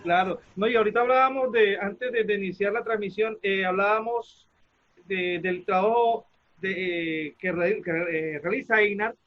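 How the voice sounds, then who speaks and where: High-voiced man, slightly muffled. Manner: halting, searches for words. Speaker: theater practitioner and panelist. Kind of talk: casual panel discussion.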